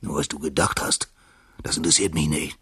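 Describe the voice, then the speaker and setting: A man's voice: rumbling low, fairly even intonation, brisk, fairly bright tone, slightly rough, dry recording, clean studio sound, heavily acted. A man voicing a proud, imperious lion in a storybook, with a haughty, emphatic delivery. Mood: furious, imperious. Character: proud, imperious male lion, king of beasts.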